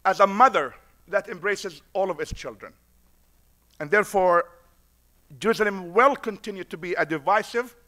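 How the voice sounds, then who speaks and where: High bright-voiced man at a podium. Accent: foreign accent. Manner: preacher-like, repeats lines for emphasis. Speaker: imam speaking at a conference. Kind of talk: conference speech. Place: conference room at a podium. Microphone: podium mic.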